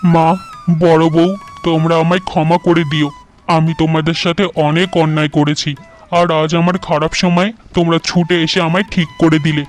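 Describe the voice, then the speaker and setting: A humble village farmer from a cartoon fairy tale, played by a man, pleads with earnest remorse. His male voice sits fairly high, with clear music in the background. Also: level tone, normal speed, natural voice. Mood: remorseful.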